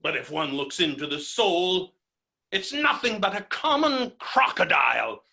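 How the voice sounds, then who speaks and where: A man's voice: high-pitched, very animated, unhurried, vocal fry, sharp tone, heavily acted. A man playing a blustering, boorish, melodramatic fellow who rails against women in a theatrical, mocking way, in a stage comedy reading. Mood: bitter contemptuous.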